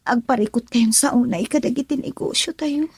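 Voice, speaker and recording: A gentle, dutiful young Filipino daughter. Her voice is fairly high and mellow with a breathy edge, recorded close and dry in radio serial style. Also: moderate pace, no echo. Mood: worried, gentle. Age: young adult. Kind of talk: Filipino radio drama acting.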